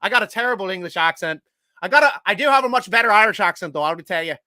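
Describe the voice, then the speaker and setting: A man hosting a live sports show, reading out viewer comments in a loose, joking way, with loud shout-outs and low mutters. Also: animated, very fast, no echo. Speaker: host.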